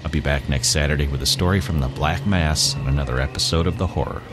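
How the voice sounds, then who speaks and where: A male podcast host with a very low voice talks in an informal sign-off style. Music plays clearly behind him. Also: fast.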